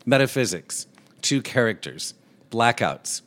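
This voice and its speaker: A soft-voiced man co-hosting a talk show, telling an animated anecdote and mimicking the people in it. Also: medium pitch, measured pace. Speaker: podcast co-host. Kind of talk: casual storytelling chat.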